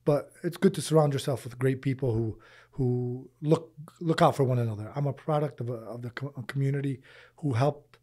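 A man in his forties speaks earnestly into a close studio mic in a small podcast room. His voice is warm and a little husky, and he often circles back over his words while gathering his thoughts. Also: medium pitch, brisk. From Lebanon, raised in Michigan, US. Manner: earnest, repeats words while thinking, uses Arabic religious phrases. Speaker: podcast guest, charity founder. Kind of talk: casual interview conversation. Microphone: boom-arm studio mic.

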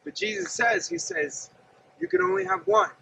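High, gravelly preaching man outdoors. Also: normal speed, noisy background. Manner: earnest, conversational preaching with gestures. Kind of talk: outdoor sermon to camera. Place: outdoors by a gravel lot and fence. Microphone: camera at a distance. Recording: distant outdoor mic with faint ambience.

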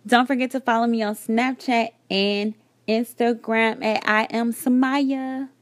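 A woman in her thirties giving a relaxed, chatty voiceover for a makeup tutorial, explaining each step. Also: fairly high pitch, measured pace, dry recording. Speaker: beauty vlogger. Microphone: voiceover recorded separately.

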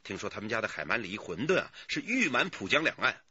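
一名男演员在广播剧里饰演一位健谈、随和、爱扯开话题的刑警同事。. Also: male voice, fairly low pitch, quick pace, dull audio, full character voice. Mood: eager, chatty. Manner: lively, digressive. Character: chatty, good-humoured male police detective colleague. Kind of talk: radio drama acting.

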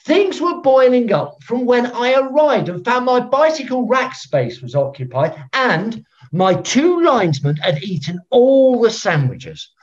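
An older man acting a pompous, eccentric veteran football referee in a filmed comedy, speaking in a deliberate, theatrical, self-important way. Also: very high-pitched, sing-song, full character voice. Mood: defensive. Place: room at home, on a video call. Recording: dull lo-fi video call sound.

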